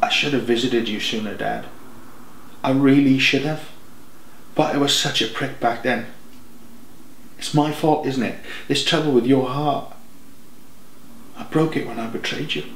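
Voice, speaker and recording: A man voicing a regretful, emotional young dead man in an audiobook, speaking haltingly and correcting himself. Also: medium pitch, fairly bright tone, echoey room, poor audio. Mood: self-blaming. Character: regretful young dead man, tender son turning desperate.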